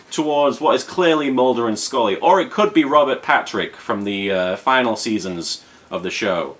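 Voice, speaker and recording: A man's voice: medium pitch, fairly bright tone. A British man in his thirties hosting a casual unboxing vlog, rambling in a playful, mock-serious way with emphatic repetition. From Britain. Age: 30s. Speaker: unboxing video host. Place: indoors at home in an apartment. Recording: clear background room noise.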